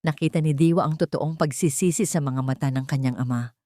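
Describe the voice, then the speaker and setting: Female story narrator, low register, scripted drama delivery, dry recording. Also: a little fast, dark tone, no echo.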